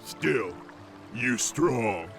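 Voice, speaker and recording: Slow, gravelly brutish ogre. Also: male voice, mid-range voice, expressive, fairly bright tone, faint music behind, exaggerated voice. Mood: grudging respect. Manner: blunt broken grammar. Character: brutish male ogre warrior, dim and gruff. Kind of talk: fantasy game cutscene acting.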